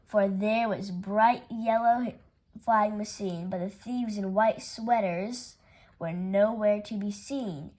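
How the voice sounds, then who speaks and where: A woman reading a rhyming children's picture book aloud with playful, expressive delivery. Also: mid-range voice. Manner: unhurried, expressive read-aloud with playful character voices. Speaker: storytime reader.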